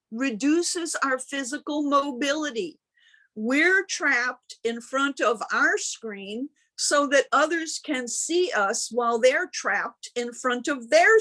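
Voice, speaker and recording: A woman minister delivers a sermon in a high-pitched voice. Her pace is slow and deliberate, with emphatic phrasing and pauses between thoughts. She is speaking to her congregation over a video call. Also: fairly bright tone. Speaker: minister preaching.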